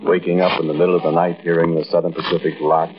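An actor playing an intense, haunted American man who confides an eerie tale straight to the listener in a 1940s radio monologue, with emphatic pauses. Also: deep voice, little pitch movement, a crowd in the background, close to an everyday voice. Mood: reminiscing. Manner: conversational, addresses listener directly, emphatic pauses. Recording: muffled, noisy old radio recording.

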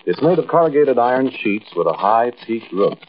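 An actor playing an intense, haunted American man who confides an eerie tale straight to the listener in a 1940s radio monologue, with emphatic pauses. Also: mid-range voice, big pitch swings, lightly acted. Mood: hushed, deliberate. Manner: conversational, addresses listener directly, emphatic pauses. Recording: muffled, noisy old radio recording.

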